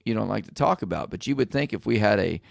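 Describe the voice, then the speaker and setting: A man's voice: on the low side, lively intonation, quick pace, gravelly, no echo, clean studio sound. A man hosting a podcast, asking leading questions in a chatty way and laughing easily. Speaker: podcast host. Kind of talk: casual chat.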